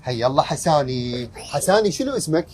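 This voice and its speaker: Fast-talking Iraqi man interviewing outdoors. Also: a little high, expressive, a little mellow. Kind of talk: casual street interview. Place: outdoors on a waterfront promenade. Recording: faint outdoor background noise.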